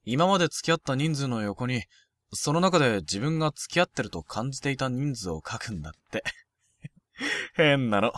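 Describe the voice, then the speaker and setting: A man playing a frank, proud, sporty first-year high school boy in a Japanese youth romantic comedy voice drama. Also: sing-song, moderate pace, a bit bright. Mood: guarded, blunt.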